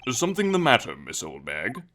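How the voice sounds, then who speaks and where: A man's voice: mid-range voice, wildly swooping pitch, a little fast, noisy background. A man voicing a stately, weary older courtroom judge in a game fandub, formal and dryly exasperated. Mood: puzzled, formal. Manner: formal, dry, faintly exasperated. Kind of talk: visual novel fandub voice acting.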